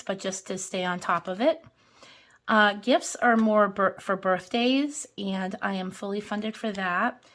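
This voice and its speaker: A hoarse woman hosting a casual budgeting vlog, chatting and counting bills aloud. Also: mid-range voice. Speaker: budgeting channel host. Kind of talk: casual cash-stuffing vlog.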